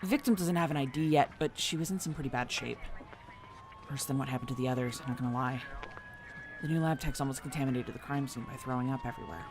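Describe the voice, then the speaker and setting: A man's voice: mid-range voice, fast, close to an everyday voice. A man playing a frank, casual police officer briefing a superior in an audio drama, matter-of-fact and conversational. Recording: noisy scene, siren ambience behind.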